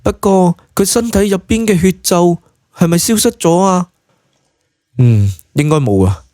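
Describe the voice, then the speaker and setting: Guy doing a young cantonese woman, high soft voice, earnest, kinda wondering, audiobook drama style. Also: animated, measured pace, no echo, studio quality. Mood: reassuring. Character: young woman, earnest, curious, gently reassuring.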